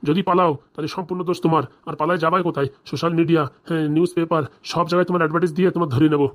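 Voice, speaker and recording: A woman's voice: deep voice, steady tone, rapid-fire, lightly acted. A woman plays an exasperated cartoon police officer. The questioning is nagging and accusing, delivered at a breakneck pace with a weary edge. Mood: warning. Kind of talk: comic cartoon dialogue.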